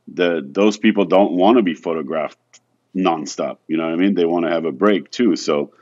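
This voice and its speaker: A man's voice: sits low, normal speed, dull audio. A man from France, a photographer, talking casually and hesitantly as an interview guest, with many restarts. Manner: soft-spoken, hesitant, many restarts and "you know".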